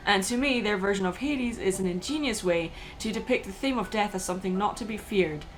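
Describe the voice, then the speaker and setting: A woman's voice: medium pitch, brisk, faint music behind, poor audio. A woman hosting and narrating a scripted video essay.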